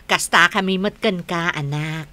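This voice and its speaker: A woman acting a caring, bustling young mother in an Ilocano radio drama, with an expressive, motherly delivery. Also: fairly low pitch, measured pace, slight background noise. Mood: fond.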